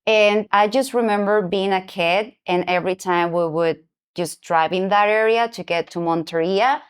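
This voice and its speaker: Smooth-voiced woman hosting a podcast. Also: mid-range voice, moderate pace. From Venezuela. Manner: reflective personal storytelling. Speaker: podcast host. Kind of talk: conversational interview.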